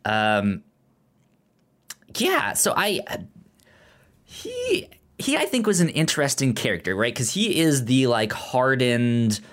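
A man co-hosts a casual film discussion podcast. He takes his time when he talks, with a mid-range male pitch. He often stammers, repeating syllables as he works toward a word. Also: huge pitch swings, slow pace, pauses often, fairly bright tone. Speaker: podcast co-host.

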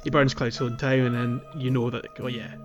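A man's voice: medium pitch, flat delivery, brisk, highs cut off, music in the background. A man hosting a podcast, casually chatting about video games. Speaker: podcast host. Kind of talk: casual discussion of a video game.

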